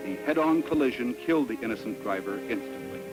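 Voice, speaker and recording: A man's voice: medium pitch, expressive, fast, rough recording. A man narrating a 1960s educational film from a script in a grave, moralizing way. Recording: vintage film soundtrack with music. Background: background music.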